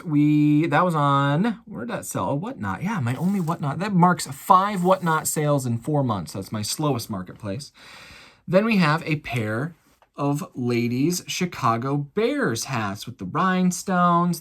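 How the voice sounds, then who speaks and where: A man's voice: fairly high pitch, fairly bright tone. An American man talking casually to viewers as an online reseller running through a sales recap in a chatty, folksy showman's way. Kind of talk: casual vlog recap of items sold.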